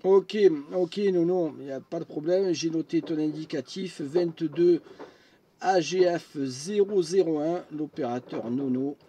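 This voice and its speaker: A man's voice: a little high, measured pace. A man, a friendly and chatty amateur radio operator having a casual contact. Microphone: studio microphone at the radio. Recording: clear steady background noise.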